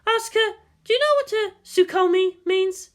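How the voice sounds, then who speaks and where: A woman's voice: extremely high pitch, normal speed, voice pushed up. A woman voicing an exasperated but protective military guardian, emphatic and wearily authoritative, in a story reading. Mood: weary, explaining.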